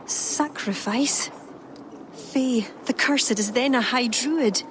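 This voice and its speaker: An actress playing a wise, composed female ally, calm and explanatory. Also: fairly high pitch, takes it slowly. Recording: game audio with ambient noise.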